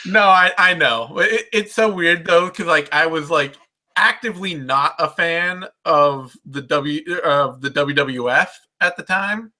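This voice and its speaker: A man's voice: a little high, a little slow, pushed and bright. A man hosting a casual sports chat in a rambling, self-deprecating way. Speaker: host.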